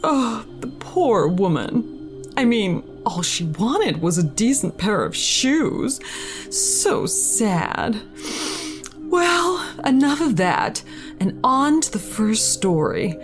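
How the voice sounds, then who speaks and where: A woman plays the horror host with a playful drawl and theatrical flair. Her fairly high voice swings widely in pitch, with faint music behind her. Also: big pitch swings, slow. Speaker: host of a creepy story podcast.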